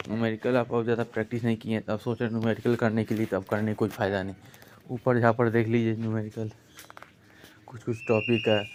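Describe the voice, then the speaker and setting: Guy coaching students, really monotone, bit of noise behind him, keeps repeating his advice. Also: fairly low pitch. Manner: repetitive, instructive, addressing students directly. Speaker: exam-prep coach. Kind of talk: informal exam-advice lesson.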